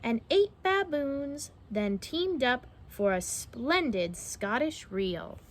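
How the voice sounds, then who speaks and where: A young adult woman reading a children's picture book aloud, expressive and pausing to ask the listener questions. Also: high-pitched. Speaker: children's story reader.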